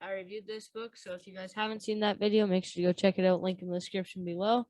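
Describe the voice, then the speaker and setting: A woman's voice: mid-range voice, level tone, fast talker, a little mellow, no echo. A woman hosting a book review show, asking questions in a casual interview style. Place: remote online call.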